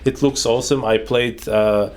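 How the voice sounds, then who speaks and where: A young man speaking as co-host in a relaxed chat, with short, dry remarks. He talks loosely, with some fillers along the way. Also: mid-range voice, normal speed, a little hiss or hum. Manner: brief dry interjections. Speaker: channel co-founder. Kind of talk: casual chat with interjections.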